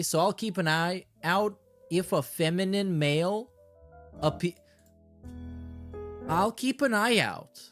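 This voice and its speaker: A man doing casual reaction commentary and reading messages aloud, in a slangy, mocking, sweary way. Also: fairly high pitch. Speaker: streamer and commentator.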